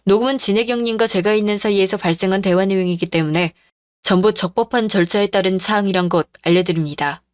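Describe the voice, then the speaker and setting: A woman voicing a calm female lawyer in a radio drama, speaking in a formal, businesslike way. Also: medium pitch, quick pace, dry recording, natural voice. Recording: dry studio voice-over with faint music.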